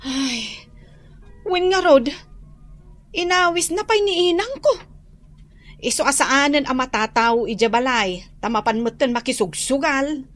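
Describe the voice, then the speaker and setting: A woman's voice: high-pitched, animated, a little slow, pauses often, dry recording, soft background music. A woman playing an annoyed young gossiping neighbour with an Ilocano accent in a comedy radio drama.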